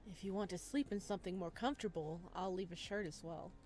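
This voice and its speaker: A woman voicing a bashful, hospitable young man in a visual novel, gentle and a little hesitant. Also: on the low side, fast, close to an everyday voice. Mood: bashful, offering.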